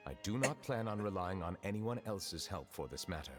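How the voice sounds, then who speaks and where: A man's voice: low-pitched, lively intonation, brisk, over music. An actor playing a reserved, dignified ancient male judge who speaks formally and measuredly. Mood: solemn. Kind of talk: game voice acting.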